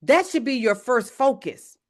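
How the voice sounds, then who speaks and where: A woman, an evangelist teaching a church leadership lesson in a loud voice. She speaks to the audience directly and checks in with them often. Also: high voice, expressive, a little slow. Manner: says she has a very loud voice; talks to the audience directly, often asking "right?".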